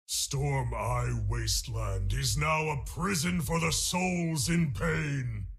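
Gravelly, slow-roaring orc warrior. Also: male voice, mid-range voice. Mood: solemn, proud. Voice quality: gravelly, guttural. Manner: declamatory, slow battle cries. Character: fierce, honor-bound orc warrior, a proud adult male. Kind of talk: video game character voice lines.

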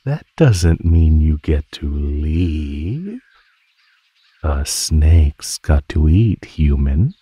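A man voicing a predatory male naga in an ASMR roleplay, husky and breathy, teasing his prey in a mockingly sweet drawl. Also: extremely low pitch, sing-song, very slow, mellow, full character voice. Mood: playful menace. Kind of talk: ASMR roleplay, scripted monologue.

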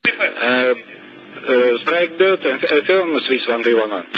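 Male air traffic controller, slow and halting, muffled radio sound. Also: medium pitch, animated. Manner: hesitant, groping for English words. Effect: aviation radio. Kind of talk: radiotelephony instructions. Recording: dull radio transmission.

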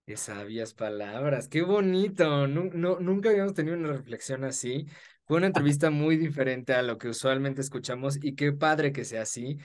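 A man's voice: fairly high pitch, moderate pace. A young man hosting an interview in an appreciative, effusive conversational way. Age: young adult. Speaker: interview host. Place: small foam-treated room, indoors. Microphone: video call.

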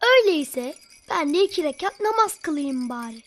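A woman voicing an eager, inquisitive, devout young child in a children's cartoon, talking in an excitable, childlike way. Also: high voice, moderate pace, over music. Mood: eager, proud. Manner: childlike, excitable, full of questions.

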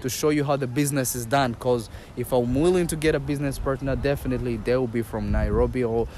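A man's voice: mid-range voice, fairly even intonation, fast, darkish tone. A young man from Rwanda being interviewed on the street, hesitant and full of fillers, then playful. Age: young adult. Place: outdoors on a park lawn. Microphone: interviewer's phone. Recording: faint outdoor street noise.

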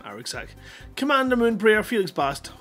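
A man's voice: high-pitched, very animated, moderate pace, bright, forceful tone, faint music behind. A middle-aged man hosting a livestream, chatting casually and rambling in a jokey, self-deprecating way into a headset mic. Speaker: live stream host.

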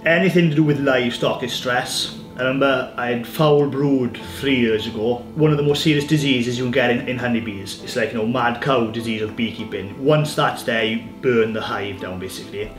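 A man's voice: medium pitch, a little fast, hardly any pauses, music in the background. A man in his thirties from Wales, a beekeeper talking casually about his work, often repeating words as he goes. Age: 30s. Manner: relaxed, repeats words as he talks. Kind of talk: casual interview chat. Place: farm kitchen and woodland hive site.

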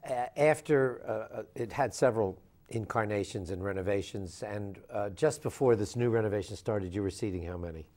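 An older man in his sixties with a fairly low voice hosts a local TV interview show. He asks his questions conversationally, hesitating with small fillers. He sits in a small studio set, heard through a lapel mic. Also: moderate pace, a little mellow. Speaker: TV talk show host.

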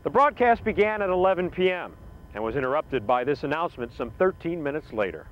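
Guy doing scripted documentary narration, highish voice, bit muffled. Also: very animated, quick pace, background noise. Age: middle-aged.

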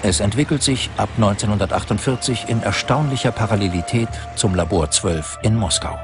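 A man's voice: fairly low pitch, brisk, over music. A man reading scripted documentary narration as the narrator.

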